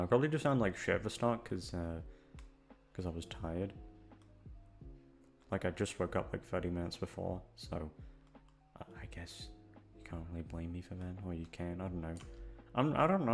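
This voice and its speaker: Low-voiced young man rambling over music. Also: animated, fairly quick. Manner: self-deprecating, hesitant, sweary. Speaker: audio creator and voice actor. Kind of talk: rambling casual chat to listeners.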